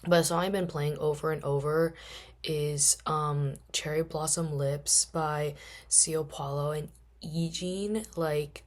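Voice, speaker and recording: Hoarse young woman chatting casually. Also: sits low. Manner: rambling, chatty, many fillers. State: hoarse, worn-out voice. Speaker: podcast host. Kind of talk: casual solo podcast chat.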